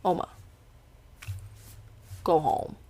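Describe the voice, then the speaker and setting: A woman's voice: drawn-out words, mellow. A young woman, hosting a podcast in casual, rambling chat with many fillers and restarts. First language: Indonesian. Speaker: podcast host.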